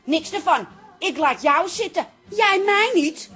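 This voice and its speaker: An actress playing a chatty, flirtatious and brassy single woman in a radio comedy-drama, quick to take offence. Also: extremely high pitch, lively intonation, fairly bright tone, faint music behind, full character voice. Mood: indignant. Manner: gushing, giggly, brassy. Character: chatty, flirtatious single woman who turns indignant when snubbed.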